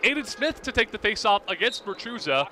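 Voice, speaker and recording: Young guy doing radio play-by-play, high voice, vivid clipped calls, lots of noise outside behind him. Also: fairly quick. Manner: vivid, clipped present-tense calls, excited on shots. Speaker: play-by-play announcer. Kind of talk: live radio sports commentary. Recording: outdoor broadcast with clear background noise.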